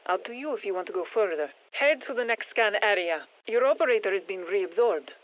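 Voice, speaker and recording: An actress playing a brisk, focused female military scientist giving clipped mission instructions over a radio in a video game. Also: medium pitch, expressive. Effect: radio filter. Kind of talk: scripted game mission briefing. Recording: tinny band-limited radio transmission.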